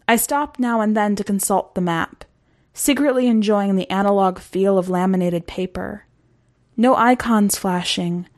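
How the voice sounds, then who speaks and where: A woman narrates a short story for a podcast. Her delivery is slow and deliberate, with a medium-pitched voice and measured phrasing. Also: a little mellow. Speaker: podcast host and story reader.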